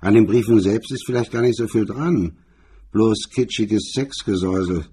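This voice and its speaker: A man playing a cool, hard-edged blackmailer in a crime radio drama, speaking with cold, taunting calm in a husky, rough voice. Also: lowish voice, moderate pace. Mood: cold, insistent.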